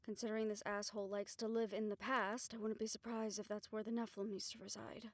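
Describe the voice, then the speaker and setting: A woman playing a level-headed young hunter and caring leader in an audio roleplay, practical and calming in manner. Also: medium pitch, fast, no room sound, natural voice. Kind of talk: dramatic audio roleplay acting.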